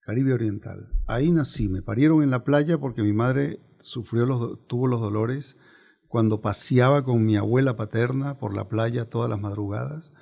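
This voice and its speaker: Older Venezuelan man, creaky, mellow voice. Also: medium pitch, moderate pace. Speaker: interview guest, biographer and former politician. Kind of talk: conversational interview, storytelling.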